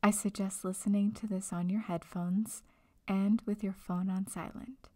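A woman leading a guided meditation in a soft, breathy, near-whispered voice, calm and gentle, leaving long pauses. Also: mid-range voice. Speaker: meditation guide, breakup coach. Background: music.

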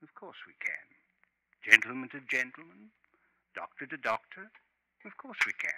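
An actor in a 1950s radio drama playing a genteel, evasive professor in debt with murder in him, suavely polite and theatrical. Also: male voice, mid-range voice, huge pitch swings, a little slow, background noise. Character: genteel, slippery debtor professor turned murderer. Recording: vintage 1950s radio recording.

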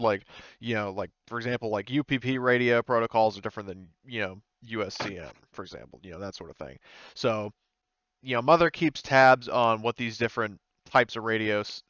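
Smooth low guy game mastering, chatty and unsure of rules, bit dull lo-fi call audio. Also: a bit bright, no echo. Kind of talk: explaining a tabletop game, casual.

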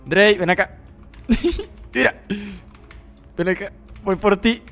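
A young man hosts a gaming session with friends in a fairly high voice. He talks casually, teasing and getting worked up easily, with music running behind him. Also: takes it slowly, gravelly. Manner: excitable, playful, teasing. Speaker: gaming video host, player. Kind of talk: casual gameplay chat with friends.

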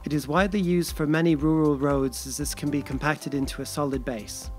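A man reading scripted voice-over narration in a steady, explanatory way. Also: a little high, a little fast, faint music behind.

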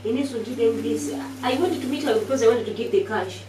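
A woman with a high voice talks slowly and with amusement in a casual chat. She is caught from across a room, with echo and a clear noise bed behind her. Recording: distant, echoey room audio with noise.

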